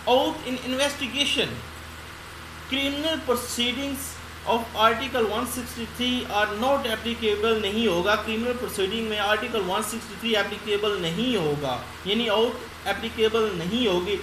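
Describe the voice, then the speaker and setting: A woman with a Pakistani accent giving a law lecture, reading notes aloud deliberately and explaining them by restating. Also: fairly high pitch. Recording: roomy echo, loud background noise.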